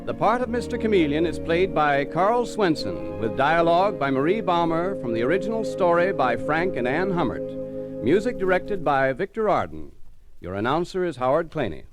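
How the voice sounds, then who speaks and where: A man's voice: a little high, very animated, moderate pace, over loud music. A male radio announcer with a polished, emphatic 1940s delivery.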